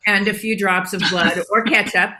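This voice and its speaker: A woman voicing a bossy, stubborn, self-assured dog in an animated comedy table read, insistent in manner. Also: mid-range voice, normal speed, crowd noise, close to an everyday voice, rough recording.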